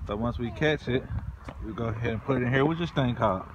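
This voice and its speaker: A man's voice: medium pitch, fast, dull audio, over a noisy crowd. A young man filming on a pier, giving casual, teasing commentary to viewers and laughing often. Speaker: vlogger filming family. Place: outdoors on a wooden pier by a pond. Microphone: phone camera held in hand. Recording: outdoor phone recording with background noise.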